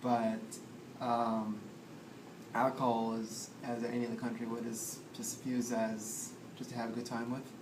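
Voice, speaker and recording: Young man, student interviewee, slow and flat, halting with ums, echoey noisy room. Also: medium pitch. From India, raised in the US. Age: young adult. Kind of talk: casual interview answer. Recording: echoey room with background noise.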